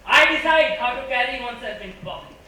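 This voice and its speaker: A man's voice: extremely high pitch, lively intonation, a little fast, pushed and bright, exaggerated voice. An Indian-accented man playing a bossy, competitive, scornful left brain in an amateur stage play. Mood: smug, scornful. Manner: insistent, boastful, easily outraged. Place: stage in a hall, far microphone. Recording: distant, echoey stage recording with faint noise.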